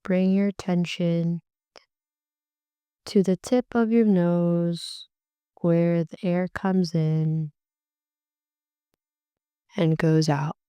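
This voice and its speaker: A woman guides a meditation in an unhurried, gentle way, letting her phrases stretch out. Her voice is mellow and warm, sitting a little low, and comes through very smooth and clear. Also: fairly even intonation, no echo. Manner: slow, soft and soothing, drawn-out phrases.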